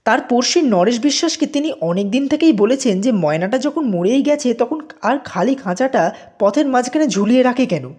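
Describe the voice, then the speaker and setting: A woman narrating a scripted audio story in an expressive storytelling manner. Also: on the high side, moderate pace.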